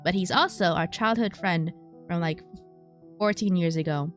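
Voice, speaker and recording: A woman's voice: fairly low pitch, moderate pace. A woman streamer playing a story game, reading its text aloud and adding casual commentary. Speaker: streamer, VTuber. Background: game music.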